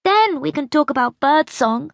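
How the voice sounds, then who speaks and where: Lady narrator doing a pushy bitter young girl, really high pitched, emphatic and demanding, storybook style. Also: animated, normal speed, gravelly, no echo, clean studio sound. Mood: bitter, pleading. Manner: insistent, accusing, emphatic. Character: bitter, demanding young girl pleading with her great-grandmother.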